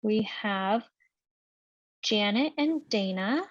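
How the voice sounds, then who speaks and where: A woman's voice: mid-range voice, lively intonation, takes it slowly, dry recording. A woman moderating a press conference, introducing guests and passing questions along. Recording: dull lo-fi call audio.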